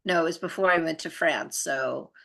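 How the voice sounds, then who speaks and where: A woman with a low, gravelly voice talks casually about her own experiences. She rambles informally, circling back and letting thoughts trail off, with a bright edge to the sound. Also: moderate pace. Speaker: spiritual practitioner in conversation. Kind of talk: casual chat, personal storytelling.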